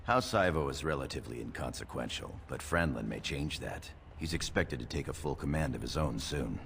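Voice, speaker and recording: A man's voice: extremely low pitch, lively intonation, fairly quick, creaky, fairly bright tone, a little hiss or hum, full character voice. A man voicing a matter-of-fact adviser to a dwarf noble in a video game. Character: low-voiced male adviser to a dwarf noble. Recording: game audio with background ambience.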